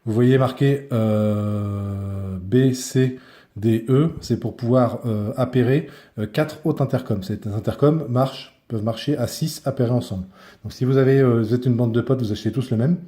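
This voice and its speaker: A man's voice: mid-range voice, normal speed, mellow. A man giving a casual hands-on product review, speaking informally and often searching for his words.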